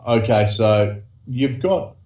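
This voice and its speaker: A man as a guest in a casual trading chat, explaining slowly and hesitantly with many restarts. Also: mid-range voice, expressive. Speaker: guest trader. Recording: echoey, dull lo-fi sound.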